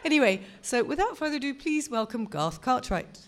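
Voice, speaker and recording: A woman's voice: sits high, a little fast. Wry woman compere. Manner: wry, deadpan jokes between introductions. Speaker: compere of a book event. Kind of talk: compering a live event.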